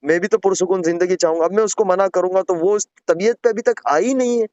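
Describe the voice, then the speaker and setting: Male caller, slightly high voice, deferential and earnest in explaining himself, dull phone-call recording. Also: fast talker, darkish tone, clear, resonant tone, no echo. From Gujarat, India. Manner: deferential, earnest, repeatedly addressing the listener respectfully. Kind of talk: private phone conversation, pleading explanation.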